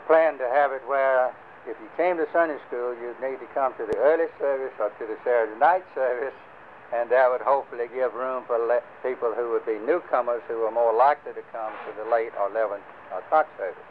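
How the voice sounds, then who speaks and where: An older man leading a church group, talking casually with many pauses and fillers. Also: medium pitch. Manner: rambling, many fillers and restarts. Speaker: church class leader. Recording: lo-fi phone-like recording with faint hiss.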